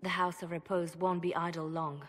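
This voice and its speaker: An actress playing a confident, pragmatic spymaster in a fantasy game cutscene, direct and insistent. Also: female voice, fairly low pitch, level tone, normal speed, a bit bright. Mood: insistent, assured. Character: pragmatic, confident female spymaster, cool and familiar. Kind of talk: scripted video game cutscene acting. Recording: long hall reverb.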